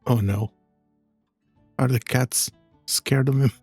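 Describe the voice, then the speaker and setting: A man giving casual, low-key commentary while playing a video game, with a slightly husky voice. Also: steady tone, slow, darkish tone, no room sound, soft background music. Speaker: gaming streamer.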